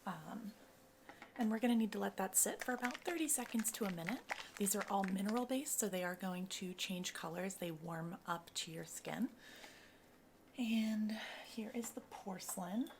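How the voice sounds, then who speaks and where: Woman, soft-spoken ASMR, breathy near-whisper, crackly vocal fry, casual reassuring consultant manner, noisy recording. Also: medium pitch, normal speed. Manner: casual, reassuring, step-by-step explaining. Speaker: cosmetics consultant in a roleplay, ASMR creator. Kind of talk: soft-spoken ASMR personal-attention roleplay.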